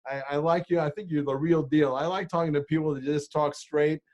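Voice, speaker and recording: A man in his sixties hosts a casual podcast chat. His voice sits a little high for a man, and he talks bluntly, rambling and dropping curse words as he goes. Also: fairly even intonation, brisk, a little mellow, highs cut off. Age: 60s. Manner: blunt, swears freely, rambling. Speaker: podcast host.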